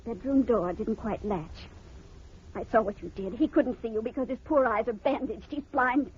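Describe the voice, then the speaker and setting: Actress as high-voiced, melodramatic young radio wife. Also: female voice, moderate pace, darkish tone, natural voice. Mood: furious, accusing. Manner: melodramatic, breathless urgency. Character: anguished, accusing young wife, passionate and indignant. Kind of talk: 1940s radio drama acting. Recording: dull, hissy vintage radio recording.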